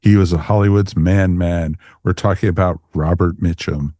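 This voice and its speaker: A man's voice: low-pitched, steady tone, normal speed, mellow, no room sound, clean studio sound. A man hosting a podcast, chatting casually and informally with co-hosts and listeners. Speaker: podcast host. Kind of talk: casual podcast sign-off chat.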